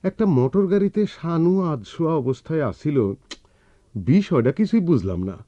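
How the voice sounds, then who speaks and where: A man's voice: a little high, big pitch swings, moderate pace, warm tone, dry recording. A man playing an eccentric, devoted older brother in a radio drama, emphatic and pleading, in a rural Bangladeshi Bengali dialect. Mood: earnest. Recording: dull lo-fi radio recording with music.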